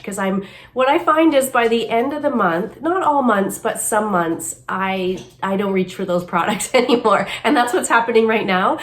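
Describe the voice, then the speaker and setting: A middle-aged woman vlogging casually about her makeup at home, talking in a chatty, rambling way with a slightly nasal voice from a cold. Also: mid-range voice. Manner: chatty, rambling, self-deprecating asides. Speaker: beauty vlogger. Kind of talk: casual chat to camera. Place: home, then moves upstairs to makeup desk.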